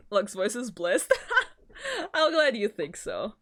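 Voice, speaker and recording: A woman with a deep voice who streams, reading a visual novel aloud and chatting with her viewers in a giggly, rambling way. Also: slow pace. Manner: giggly and rambling in chat, even-paced when narrating. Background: faint game music.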